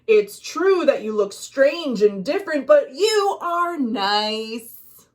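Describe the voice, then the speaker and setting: A woman voicing a huge but timid male ghoul for a children's storybook, earnest, halting and gentle. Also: sits high, very animated, full character voice. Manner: trembling, slow and halting, earnest.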